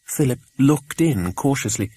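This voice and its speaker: A man reading a children's adventure audiobook, an expressive scripted narration. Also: mid-range voice, unhurried, husky.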